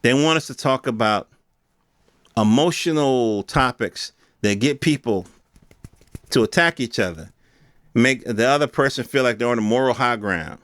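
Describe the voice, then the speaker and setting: A man speaks at an average pitch with a smooth, resonant tone, recorded dry and close. He hosts in a loose, unscripted rant style, using street slang and the odd swear word. He often puts questions straight to his listeners. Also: expressive, moderate pace, a bit bright, no room sound. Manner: rhetorical questions addressed to listeners. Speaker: podcast host. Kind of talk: casual unscripted opinion rant.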